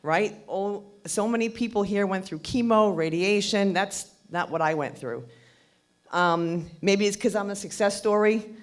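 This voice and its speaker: Woman in her 50s, loud voice, self-deprecating, joking, personal talk at an event. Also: mid-range voice, a little slow. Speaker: patient speaker. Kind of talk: personal story at a public event.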